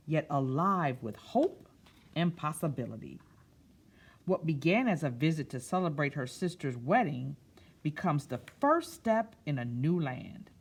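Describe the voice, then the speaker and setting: Expressive woman reading aloud, slightly husky. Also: sits low. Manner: expressive, stretches key words and pauses to explain. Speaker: storyteller reading a picture book to children. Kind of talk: read-aloud story time with explanatory asides.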